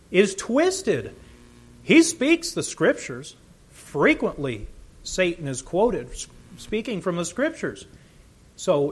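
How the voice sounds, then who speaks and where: A man preaching a sermon, earnest and emphatic, his volume rising and falling as he teaches. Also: high voice.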